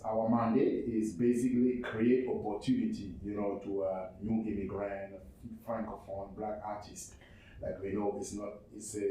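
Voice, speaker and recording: A man from Cameroon whose first language is French, speaking English with a Cameroonian French accent. He presents his non-profit haltingly, often repeating words and restarting. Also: lowish voice, dark tone. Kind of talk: spoken presentation. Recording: very echoey room, far from mic, faint noise.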